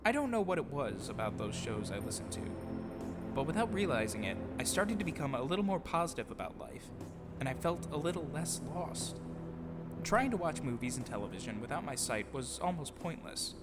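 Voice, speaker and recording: A man's voice: on the high side, very animated, brisk. Man narrating his story over music, reverberant. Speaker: narrator, audio drama producer and actor. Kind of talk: scripted autobiographical narration with acted dialogue. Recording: long reverb, clear music behind. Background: music bed.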